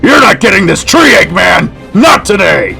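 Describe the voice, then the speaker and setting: A man playing a hot-headed, gruff echidna hero in an audio drama, with a raspy voice. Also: high voice, big pitch swings, unhurried, sharp tone, soft background music, full character voice. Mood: defiant shouting. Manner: gruff, defiant.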